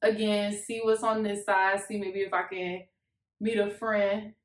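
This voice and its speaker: A woman in her twenties with an American accent chats informally to camera in a reverberant room. Her pitch sits in the middle, and she tells her story in slangy, everyday language. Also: flat delivery, measured pace. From Miami, Florida, United States. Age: 20s. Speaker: YouTube couple vlogger. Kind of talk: casual chat to camera. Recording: echoey untreated room.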